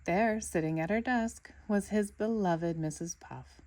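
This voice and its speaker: A woman reading a children's storybook aloud in an expressive narrating style. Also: mid-range voice, measured pace. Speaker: storybook reader.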